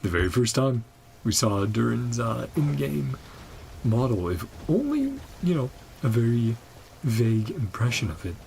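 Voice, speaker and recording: A woman's voice: rumbling low, sing-song, a little slow, gravelly, mellow, a little hiss or hum, low-quality recording. A woman giving casual reaction commentary on a video game story, speaking in short fragments and murmured asides. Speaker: gaming streamer reacting to a story quest.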